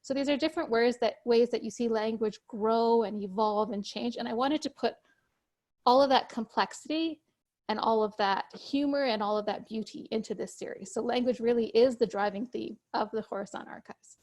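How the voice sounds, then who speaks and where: A woman whose first language is Urdu, a guest author explaining her ideas in a measured, conversational way over a video call. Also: fairly high pitch, darkish tone. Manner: measured, explanatory, occasional restarts.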